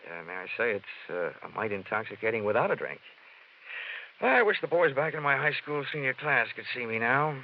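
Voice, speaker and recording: Wry American radio detective, swooping delivery. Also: male voice, medium pitch, brisk, a little hiss or hum. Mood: teasing, flirtatious. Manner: deadpan, flirtatious, sardonic. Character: wry, wisecracking hard-boiled American investigator, narrating his case. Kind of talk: 1950s radio detective acting and narration. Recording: dull 1950s radio recording, music behind.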